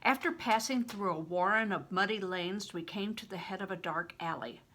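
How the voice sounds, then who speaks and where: An older woman with a breathy voice, reading a novel aloud and giving the characters voices of their own. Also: mid-range voice, moderate pace, fairly bright tone. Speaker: reader.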